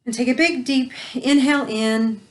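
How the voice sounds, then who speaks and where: A woman leading a guided meditation, speaking softly and soothingly with long pauses. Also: high-pitched, fairly even intonation.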